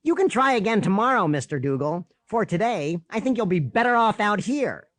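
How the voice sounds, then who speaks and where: A man's voice: high-pitched, sing-song, fairly quick, a bit bright, no room sound, pitched above the performer's own voice. A man voicing a stern, formal male math teacher in an audiobook, with pompous, clipped authority. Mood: annoyed.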